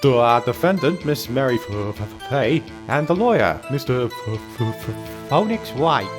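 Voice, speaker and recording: An actor playing a bumbling, nervous police detective with a heavy stammer and a deferential manner, in a video game dub. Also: male voice, mid-range voice, sing-song, moderate pace, over music, natural voice. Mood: flustered, stammering.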